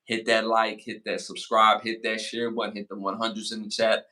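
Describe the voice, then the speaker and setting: A man in his forties hosting a casual podcast, giving motivational advice and shout-outs, repeating phrases for emphasis. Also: on the low side, monotone. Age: 40s. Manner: hypes the chat with rapid shout-outs. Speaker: podcast host.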